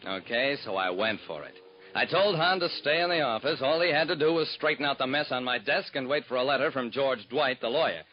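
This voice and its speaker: A man's voice: mid-range voice, fairly quick, natural voice. An actor playing a private detective who narrates his own cases in wry, hard-boiled first person, in 1940s radio drama style, on a dull lo-fi old recording.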